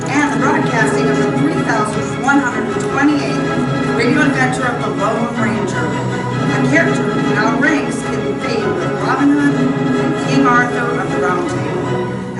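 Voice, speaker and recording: Lady as dramatic old radio western announcer, high voice, echoey, loud music behind. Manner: dramatic, stately, drawn-out emphasis. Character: grand, stirring female radio western announcer. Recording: distant roomy stage recording, loud music.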